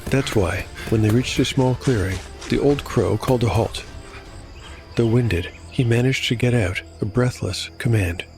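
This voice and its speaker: A man narrating a scripted dark fantasy audio drama as solo game master, measured and deliberate. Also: mid-range voice, faint music behind.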